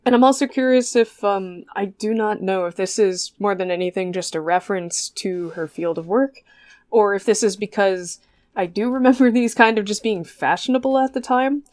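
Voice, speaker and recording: A young woman co-hosting a relaxed podcast conversation. Her voice sits at an ordinary middle pitch, and she talks in a chatty, curious way, wandering through her thoughts with frequent ums and small restarts. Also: normal speed. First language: not Japanese. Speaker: podcast co-host. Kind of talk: casual podcast chat.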